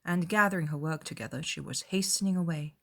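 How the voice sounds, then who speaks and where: A woman reading a classic novel as scripted audiobook narration, in a formal, measured storytelling manner. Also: fairly low pitch, vocal fry. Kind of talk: scripted literary narration, dramatized.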